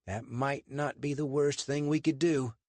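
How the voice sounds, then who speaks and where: A man's voice: medium pitch, steady tone, unhurried, darkish tone, no room sound, pitched above the performer's own voice, natural voice. A man voicing a frustrated American operative who talks in a tense growl.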